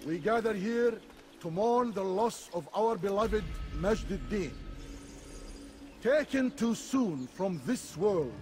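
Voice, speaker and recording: A man playing a solemn orator in a video game, speaking formally and declaiming in a measured way over music. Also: high-pitched, animated. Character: solemn orator at a funeral, turning accusatory. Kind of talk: formal eulogy, public oration.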